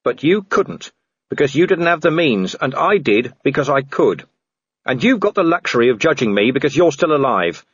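A man's voice: mid-range voice, big pitch swings, moderate pace, slightly rough, no room sound, dull audio, pitched above the performer's own voice. A man voicing a grim, weary male city watch commander who talks in a bitter growl.

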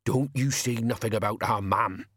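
A man voicing a huge, simple, childlike male thug with a deep voice. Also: normal speed, a bit bright, husky, no room sound, studio quality. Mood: sulky.

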